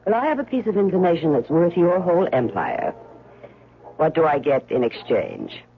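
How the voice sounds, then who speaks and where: A woman's voice: deep voice, big pitch swings, moderate pace. An actress playing a jealous, sly and manipulative woman with an arch, purring manner, in 1970s radio drama style. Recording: muffled old radio broadcast with music.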